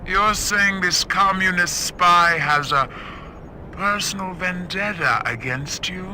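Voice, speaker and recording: A man playing a stern, skeptical government agent in a video game, measured and cutting. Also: high-pitched, pushed and bright, a little hiss or hum, full character voice. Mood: cold, skeptical. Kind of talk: video game cutscene acting.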